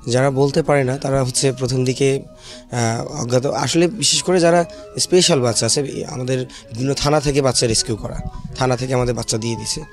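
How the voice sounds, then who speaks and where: A man with a Bangladeshi accent, a shelter worker explaining things in an interview in a halting way. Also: medium pitch, steady tone, soft background music. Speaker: shelter home staff, interview guest.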